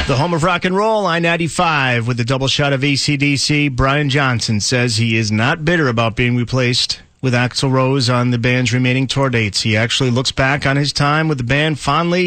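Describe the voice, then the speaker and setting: A man's voice: mid-range voice, fairly bright tone, dry recording, studio quality. An American man working as a rock radio DJ, announcing songs, contests and local news in a polished, punchy, upbeat announcer style.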